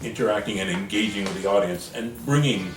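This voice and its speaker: A man's voice: on the low side, a little fast. A man being interviewed as a stage performer, explaining things conversationally in a hesitant, jokey way. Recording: roomy echo, distant mic, music behind.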